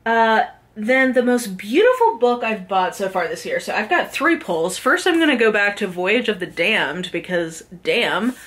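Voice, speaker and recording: A woman's voice: mid-range voice, big pitch swings, a little slow, fairly bright tone. A woman talking informally to viewers in a chatty, slangy book vlog style, with lots of "like" sprinkled in. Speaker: book vlogger, host. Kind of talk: casual chat to camera, book review.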